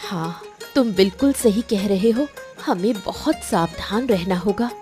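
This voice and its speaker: Woman voicing a bright-edged-free, mellow cartoon village wife. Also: mid-range voice, animated, measured pace, faint music behind, lightly acted. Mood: earnest, wary. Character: village wife and scheming sister-in-law, emotional, calculating. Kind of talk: Hindi cartoon moral story dialogue.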